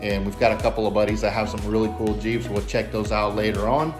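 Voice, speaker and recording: A man's voice: on the low side, steady tone, fast, over music. A man hosting a car vlog, chatting casually with informal enthusiasm. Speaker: car channel host.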